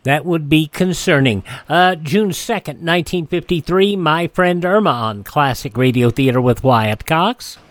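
Relaxed rambling radio host man. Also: fairly high pitch, unhurried, hardly any pauses, a little hiss or hum. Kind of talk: casual show introduction.

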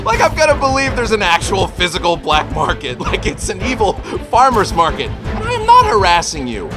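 Guy doing goofy flustered young superhero, really high voice, quippy, music underneath. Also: huge pitch swings, moderate pace. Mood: indignant, quippy. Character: young male wisecracking, awkward, good-hearted superhero. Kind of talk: animated script table read.

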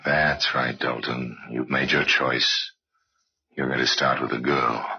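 A man acting as a sinister, knowing crime-story narrator in an old-time radio drama, speaking deliberately. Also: a bass voice, level tone, slight background noise. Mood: hushed, goading. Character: ominous, knowing male narrator of true crime tales. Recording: dull old radio recording.

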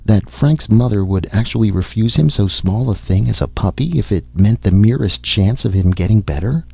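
A man's voice: on the low side, expressive, moderate pace, husky, no room sound, pitched above the performer's own voice. A forthright female nurse voiced by a male narrator, caring but disapproving. The voice is raised above his own and earnest, on a slightly muffled story recording. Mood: icy. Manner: earnest, icy when crossed. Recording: muffled audio.

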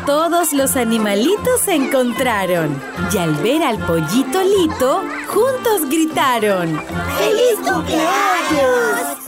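Lady reading a kids story, high voice, slow and singsongy, big loud music behind her. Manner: slow, sing-song and very expressive. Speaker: children's storyteller. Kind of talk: rhyming storybook narration for children. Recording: narration over loud background music.

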